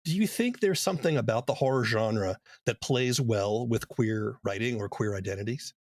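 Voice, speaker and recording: A man's voice: mid-range voice, moderate pace, no room sound, clean studio sound. A man conducting an interview in a conversational way.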